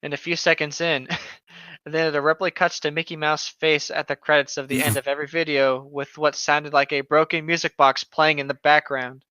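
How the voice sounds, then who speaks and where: A man's voice: on the high side, little pitch movement, moderate pace, pushed and bright, clear, resonant tone, no room sound. Man joking on a dull, lo-fi mic. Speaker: podcast co-host. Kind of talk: casual comedic chat while reading horror stories aloud. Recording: dull lo-fi microphone sound.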